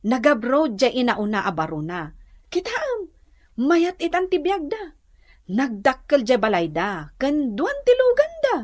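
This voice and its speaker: A high, very animated village gossip in a radio comedy. She is practical and opinionated, speaking emphatically with a Northern Luzon Filipino accent. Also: a little slow, exaggerated voice. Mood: gossipy, emphatic. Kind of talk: radio comedy drama acting.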